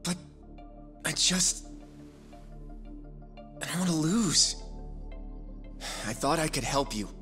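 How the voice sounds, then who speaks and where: High, bright, earnest young man. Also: lively intonation, slow, pauses often, soft background music, heavily acted. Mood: dejected. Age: young adult. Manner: pleading, insistent. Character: earnest, hot-headed young male lawyer. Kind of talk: video game cutscene acting.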